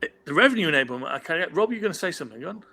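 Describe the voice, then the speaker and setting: A British man hosting a livestream panel, opinionated and animated, with frequent stammered restarts. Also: on the high side, racing, vocal fry, slight background noise. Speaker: host.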